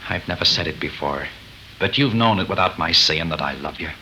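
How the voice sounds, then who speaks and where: Bold card player, sharp forceful voice, lively swings, deliberate, old radio melodrama. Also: male voice, deep voice, a little hiss or hum, heavily acted, rough recording. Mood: tender. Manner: earnest, deliberate, wry. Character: cool, gallant frontier card player, quietly defiant. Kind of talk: 1930s radio melodrama acting. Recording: old 1930s radio recording.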